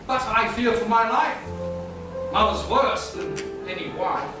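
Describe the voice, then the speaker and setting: A man's voice: high voice, a little slow. A man playing a scheming, cowardly young hustler under his mother's thumb, talking theatrically. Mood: fretful. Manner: theatrical, fretful, sings comic patter. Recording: echoey room from far off, music.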